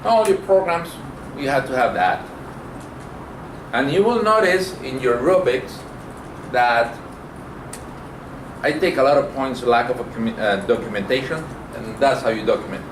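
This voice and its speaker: A middle-aged man teaching programming in an informal lecture, speaking haltingly as he thinks aloud while demonstrating. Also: mid-range voice, animated. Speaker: programming instructor. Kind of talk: informal classroom lecture with live coding demo. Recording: roomy, distant mic, clear background noise.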